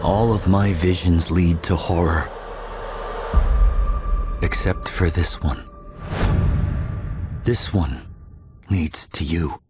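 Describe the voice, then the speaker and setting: Slow, brooding messianic young heir. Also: male voice, sits low. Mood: grave, foreboding. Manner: grave, portentous, measured. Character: brooding young male messianic heir haunted by grim visions. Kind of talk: cinematic game trailer narration. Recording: loud music under the voice. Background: loud music.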